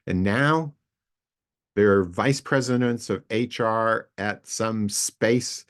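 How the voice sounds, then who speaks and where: A man's voice: on the low side, takes it slowly. A man in his late sixties talking casually on a video call, introducing himself to a community group in a rambling, friendly way with many fillers and restarts. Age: 60s. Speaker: co-founder introducing an online community session.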